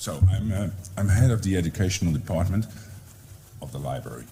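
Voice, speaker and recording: A man's voice: deep voice, animated, fairly quick, mellow, a little hiss or hum. A middle-aged man with a Dutch accent giving a conference presentation, hesitant with many fillers and restarts. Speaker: library department head. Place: conference room.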